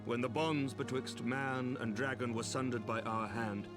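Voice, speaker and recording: A noble male leader from a fantasy game, played by an actor, speaks in stately, archaic oratory. He is earnest and measured, at a middling pitch, with clear music playing behind him. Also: fairly even intonation, lightly acted. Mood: grave, solemn. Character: noble, solemn male leader of a knightly nation.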